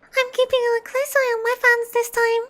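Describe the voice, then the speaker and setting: A woman's voice: extremely high pitch, flat delivery, moderate pace, a bit bright. A woman game streamer chatting casually with her viewers as a VTuber. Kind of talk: casual livestream chat.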